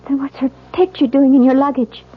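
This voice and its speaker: A woman's voice: sits high, fairly even intonation, fairly quick, lightly acted. A woman playing a distraught, suspicious young newlywed wife in a 1970s radio drama, with intense, theatrical delivery. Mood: accusing, agitated. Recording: muffled vintage radio recording.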